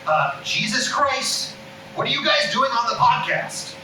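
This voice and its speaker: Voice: medium pitch, very animated, measured pace. A performer reading an exasperated, blunt man breaking bad news by phone in a comedy table read, sarcastic and stammering. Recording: roomy, distant mic with loud background noise.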